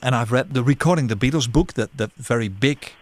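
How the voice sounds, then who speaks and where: A man's voice: medium pitch, fairly quick. A man interviewing a guest, a non-native English speaker whose first language is Dutch, often repeating words as he shapes his questions. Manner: hesitant, repeats words while forming questions.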